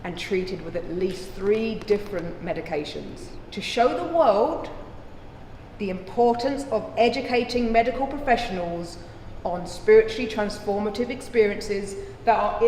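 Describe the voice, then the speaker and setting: A woman playing an impassioned trial lawyer, addressing a jury with deliberate, rhetorical persuasion in a courtroom dramatization. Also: medium pitch, darkish tone, close to an everyday voice. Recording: echoey hall with faint noise.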